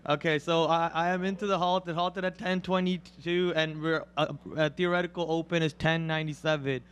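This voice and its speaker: A young man with a raspy voice talks through his trades in rapid, energetic commentary. Under the rasp his voice sits fairly high and carries a smooth, resonant tone. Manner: rapid excited play-by-play, gets loud and high when a stock moves. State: raspy voice from a cold. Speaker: day trader and co-host. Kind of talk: live trading commentary.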